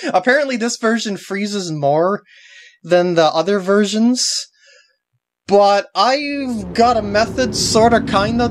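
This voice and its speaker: A young man giving casual, unscripted walkthrough commentary in a halting way with wry asides. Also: high voice.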